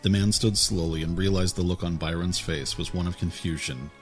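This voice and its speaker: Male narrator, very deep, husky, calm storybook reading, soft music behind. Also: fairly quick.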